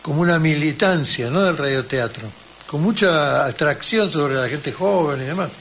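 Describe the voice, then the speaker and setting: Higher-pitched Argentine male radio host. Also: moderate pace, fairly bright tone, a little hiss or hum. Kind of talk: casual live radio interview.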